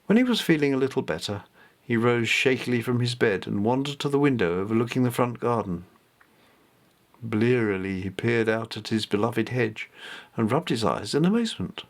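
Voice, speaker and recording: A man's voice: mid-range voice, expressive, normal speed. Man reading a storybook aloud. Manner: amateur, careful read-aloud delivery. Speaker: author reading own novelette. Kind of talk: scripted story narration.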